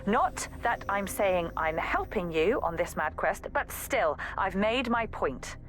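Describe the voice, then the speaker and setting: Exasperated young woman assassin, very expressive. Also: mid-range voice, takes it slowly. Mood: reasoning, insistent. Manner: pleading, scolding, emphatic. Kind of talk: dramatic video game audio log.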